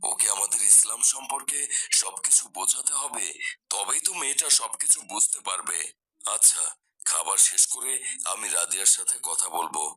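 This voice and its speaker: A man playing a pious, patient father who gently admonishes his daughter in a cartoon. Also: lowish voice, moderate pace, slightly rough, heavily acted, rough recording. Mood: concerned. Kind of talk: cartoon dialogue.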